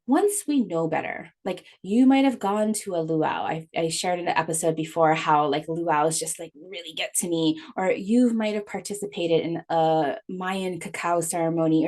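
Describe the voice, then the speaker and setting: Podcast host, woman from Hawaii, slightly low voice, earnest and chatty, full of restarts. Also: darkish tone. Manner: conversational, many fillers and restarts, earnest; laughs often. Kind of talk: casual solo podcast monologue.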